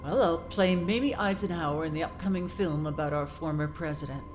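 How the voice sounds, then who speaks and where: A woman plays a celebrated actress who is gracious and friendly with her fans. Her voice is on the low side, her manner polite and cordial, with faint music behind this audio drama. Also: a little fast, lightly acted.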